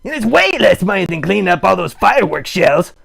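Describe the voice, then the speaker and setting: A man voicing a needy, melodramatic puppet streamer, theatrical, sarcastic and mock-grand, with a gravelly, husky voice, improvising a comic livestream. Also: high-pitched, very animated, fairly quick, a bit bright, heavily acted. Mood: conspiratorial, playful. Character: melodramatic, needy puppet live streamer with big wry bravado. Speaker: host of a live puppet show stream.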